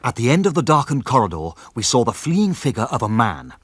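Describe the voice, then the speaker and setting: An actor playing a gentleman who narrates a detective tale in a radio drama, in a literary, dramatic manner. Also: mid-range voice, big pitch swings, a little fast, noisy background, natural voice. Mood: dramatic, suspenseful. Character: gentleman narrator recounting his detective adventure. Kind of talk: scripted first-person radio drama narration.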